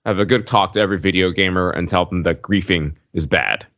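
A man co-hosting a casual podcast discussion, speaking haltingly and circling back to restate his points. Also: low voice, fairly bright tone, no room sound, muffled recording. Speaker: podcast co-host.

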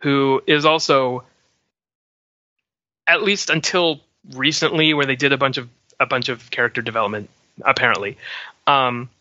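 A man in a casual panel discussion, thinking aloud with hesitant pauses as he gives his opinions. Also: mid-range voice, fairly even intonation, bright, forceful tone, no echo. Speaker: podcast panelist. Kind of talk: casual pop-culture discussion. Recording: dry but dull lo-fi audio.

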